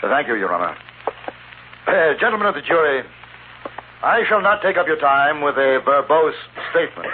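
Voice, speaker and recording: A man playing a courteous, wordy British gentleman barrister in a 1950s Western radio drama, speaking formally and articulately. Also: a little high, sing-song, normal speed, long pauses. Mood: formal, earnest. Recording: tinny 1950s radio recording, hiss.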